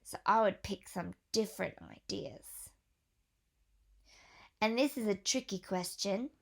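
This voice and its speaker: A woman teacher reading a picture book aloud to young children, pausing for questions and gentle whispered asides. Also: mid-range voice, vocal fry.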